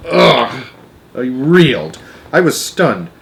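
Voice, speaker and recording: A man narrates a storybook as a scripted read-aloud. His mid-pitched voice moves with lively intonation. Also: slow, gravelly. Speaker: narrator and host of story readings.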